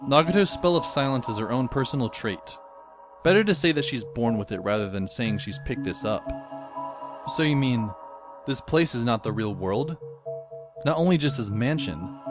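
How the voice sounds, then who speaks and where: A man's voice: mid-range voice, natural voice. A man playing a wry, brooding teenage schoolboy who narrates his own story in an audio drama with dry, sardonic asides. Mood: uneasy, questioning. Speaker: lead actor and narrator. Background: faint background music.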